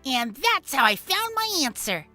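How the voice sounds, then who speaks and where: Woman playing cheeky, bright, high-pitched cartoon schoolboy. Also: sing-song, a little slow, soft background music, full character voice. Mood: cheeky, smug. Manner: cheeky, protesting. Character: cheeky, defiant schoolboy student, Anonymous. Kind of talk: cartoon fan fiction character acting.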